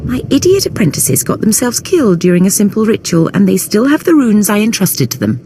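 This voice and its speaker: A woman's voice: mid-range voice, sing-song, fairly quick, background noise. A woman voicing a haughty, impatient and obsessive Sith sorceress in a video game, speaking theatrically. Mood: scornful, eager. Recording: music under the voice.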